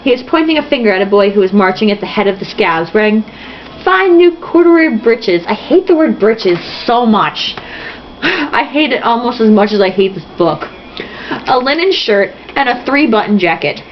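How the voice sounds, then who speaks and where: Animated, high-voiced woman reading aloud mockingly. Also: normal speed. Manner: theatrical, mocking. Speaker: host reading a novel aloud with jokey commentary. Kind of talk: casual dramatic read-aloud with sarcastic asides. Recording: dull lo-fi sound, faint noise.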